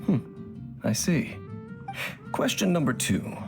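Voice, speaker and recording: A male voice actor playing a cool, enigmatic swordsman in a video game, speaking in a measured, formal and guarded way. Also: medium pitch, big pitch swings. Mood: solemn questioning. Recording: music behind the voice.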